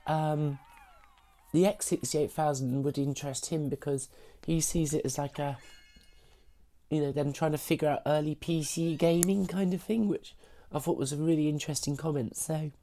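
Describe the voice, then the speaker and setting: Husky, low-voiced woman live-streaming games. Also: steady tone, moderate pace, warm tone. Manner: self-deprecating, apologetic, giggly. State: recovering from sickness. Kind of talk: casual live-stream gaming chat.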